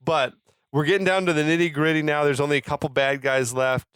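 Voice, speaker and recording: A man's voice: on the high side, steady tone, fairly quick, fairly bright tone, smooth, no room sound. A man co-hosting a podcast, chatting casually about film and telling stories in profane, slangy language. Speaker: podcast co-host.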